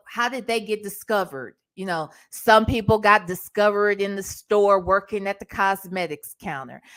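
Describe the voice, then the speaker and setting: A middle-aged American woman from Ohio telling stories casually as a livestream host, emphatic and sassy, often checking in with the listener. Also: medium pitch. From Ohio, United States. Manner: animated, emphatic, sassy, conversational with tag questions. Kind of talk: casual storytime chat.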